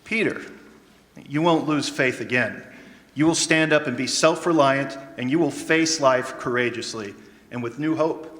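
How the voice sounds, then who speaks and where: A man playing an idealistic, gentle ambulance doctor in a radio drama, speaking earnestly and formally. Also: mid-range voice, moderate pace, natural voice. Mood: reassuring. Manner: earnest, soothing, formal, lofty. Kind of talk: old-time radio drama acting. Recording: echoey hall stage recording, faint music behind.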